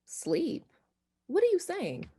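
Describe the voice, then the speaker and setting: Woman playing an anxious wife, very animated pitch. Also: full character voice. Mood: confused, alarmed. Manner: dramatic, halting, repeats phrases. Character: anxious, frightened wife driven to murder. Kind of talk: radio drama acting.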